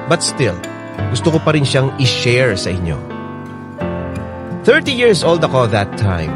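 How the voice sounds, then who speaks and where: A man's voice: mid-range voice, wildly swooping pitch, unhurried. A man with a Filipino accent voicing a chatty letter-writer's first-person story for a radio drama, playful and confiding. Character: chatty storyteller reading a thirty-two-year-old woman's letter. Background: plucked guitar music.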